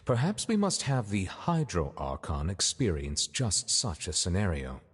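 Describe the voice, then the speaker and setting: A man voicing a solemn, reserved chief judge in a video game, speaking formally and with measured words. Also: deep voice, wildly swooping pitch, in a large hall, a little hiss or hum, full character voice. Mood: grave, resolute. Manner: formal, measured, deliberate wording.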